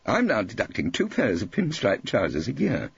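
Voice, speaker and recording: A man's voice: on the low side, huge pitch swings, moderate pace, vocal fry, husky, dry recording, muffled recording, pitched above the performer's own voice, exaggerated voice. A man voicing a fussy, self-important male tax barrister who is prim, earnest and disapproving. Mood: self-satisfied.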